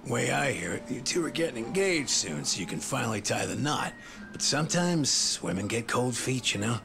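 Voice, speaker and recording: Sleazy smug detective, middle aged, low gravelly voice that swings around, game cutscene with music. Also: male voice, big pitch swings, moderate pace. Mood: smug, taunting. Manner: mocking, smug, slangy. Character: sleazy middle-aged male private detective running extortion. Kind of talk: video game cutscene acting, English dub.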